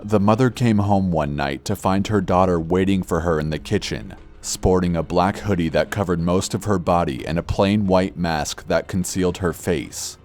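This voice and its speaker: A man reading a scripted true-crime narration in a measured, somber manner. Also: deep voice, darkish tone. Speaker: narrator of horror videos. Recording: background music under narration. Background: music.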